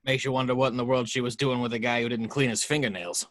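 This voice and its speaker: A man's voice: medium pitch, steady tone, fast, a bit bright, natural voice. A man playing a jaded, sardonic private detective in an amateur screenplay table read. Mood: dry, sardonic.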